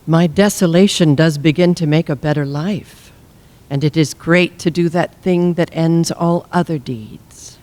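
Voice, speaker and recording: A woman's voice: deep voice, a little slow, dark tone. A woman playing a grieving, regal Egyptian queen resolved on death, in stately, impassioned classical Shakespearean verse. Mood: guarded, dignified. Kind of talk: classical Shakespearean stage acting.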